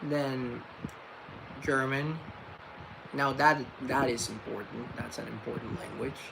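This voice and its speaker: A man's voice: mid-range voice, fairly even intonation, slow, creaky, noisy background. A man who grew up in Colombia, chatting casually and playfully as host, joking around. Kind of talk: casual comedic chat.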